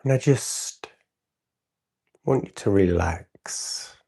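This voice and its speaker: A man leading a live guided meditation, speaking in short unscripted phrases with long pauses and a soothing, instructing manner. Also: lowish voice, expressive, creaky, warm tone, husky. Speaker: meditation teacher hosting a livestream.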